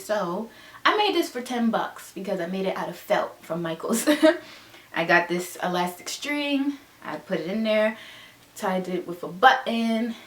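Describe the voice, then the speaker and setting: A woman's voice: mid-range voice, a bit bright. Twenty-something girl rambling upbeat about her stuff, bit stuffed up, echoey bedroom. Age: 20s. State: stuffed-up nose. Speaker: vlogger. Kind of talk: casual show-and-tell chat.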